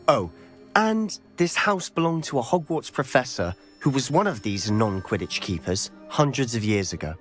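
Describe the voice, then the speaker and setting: An actor playing an eager young male wizard student, curious and quick to question. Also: medium pitch, natural voice. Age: young adult. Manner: lively, quick to question and tease. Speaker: student companion in a video game. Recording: game dialogue with soft music underneath.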